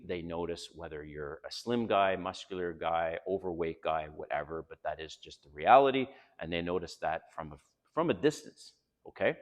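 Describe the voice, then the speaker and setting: Blunt older Canadian man, deep, roomy echo. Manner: blunt, frank, addresses viewers directly. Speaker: dating advice vlogger. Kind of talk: casual advice monologue.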